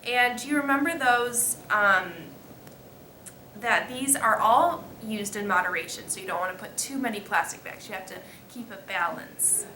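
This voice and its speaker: A female student giving halting, scripted explanations as an expert guest in a mock interview. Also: medium pitch, pushed and bright. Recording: echoey room with faint noise.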